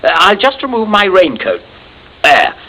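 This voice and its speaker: An actor playing a shrewd captain in a 1940s radio drama, polite, precise and wry. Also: male voice, high voice, wildly swooping pitch, measured pace, sharp tone, exaggerated voice, rough recording. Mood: dry, accusing. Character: wry, shrewd British captain, a wronged house guest. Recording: hissy 1940s radio recording.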